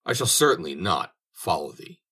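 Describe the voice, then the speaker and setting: A man's voice: deep voice, big pitch swings, normal speed, bright, forceful tone, breathy edge, exaggerated voice. A man voicing a cunning, greedy former soldier who blusters and wheedles, in an audiobook reading. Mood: sly.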